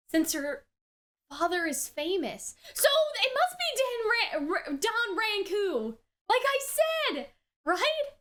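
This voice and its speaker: A woman with a very high, bright voice gives casual reaction commentary. She takes her time overall, yet sounds animated and exclamatory, dropping slang as she goes. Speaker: reaction video host.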